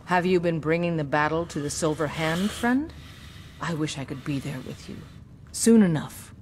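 A woman's voice: low-pitched, moderate pace, a little hiss or hum, natural voice. A woman playing a fierce warrior huntress in a fantasy game, speaking in an earnest, confiding way. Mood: eager, intent. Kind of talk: scripted fantasy game dialogue.

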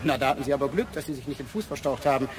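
Brisk, courteous male inspector played by an actor for a radio crime drama, quick delivery, faint noise behind. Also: mid-range voice, animated, close to an everyday voice. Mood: businesslike. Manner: polite, businesslike.

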